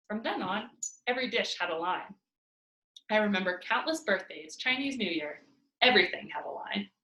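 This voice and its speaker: A woman's voice: mid-range voice, pushed and bright. A woman reading a children's novel aloud expressively, as a storyteller at home. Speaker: read-aloud narrator. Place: indoors at home by a fireplace. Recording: roomy home recording.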